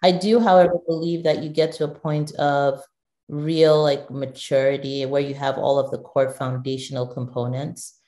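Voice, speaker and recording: A middle-aged woman from Nigeria answers interview questions in a low, mellow voice. She speaks thoughtfully, circling back and restarting as she works out her points. The sound has an echoey, roomy quality. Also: little pitch movement, moderate pace. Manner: thoughtful, with frequent restarts and fillers. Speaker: guest, digital executive. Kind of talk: conversational interview.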